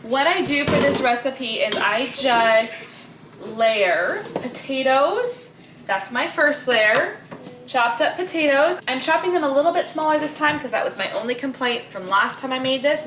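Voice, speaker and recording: Chatty woman vlogger with a higher voice. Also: noisy background. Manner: chatty, conversational, explanatory. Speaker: homeschooling mother and vlogger. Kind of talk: casual vlog chat to camera.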